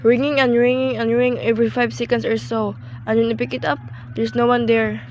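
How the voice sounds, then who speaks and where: A frantic, demanding bedridden wife, acted by a woman in a suspense radio drama. Her voice sits fairly high and is querulous and melodramatic. A faint noise runs behind it. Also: flat delivery, a little fast, a little mellow, dull audio, natural voice. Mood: irritated. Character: imperious, nervous bedridden invalid wife, frantic and self-pitying.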